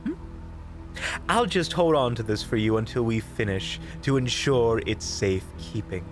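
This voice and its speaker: A man's voice: mid-range voice, expressive, lightly acted. Man playing a flamboyant, unflappable investigator, music behind. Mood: smug, courteous. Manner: theatrical, coy, drawn-out, mockingly polite. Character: flamboyant, unflappable, witty investigator in their prime. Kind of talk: audio roleplay acting. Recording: background music.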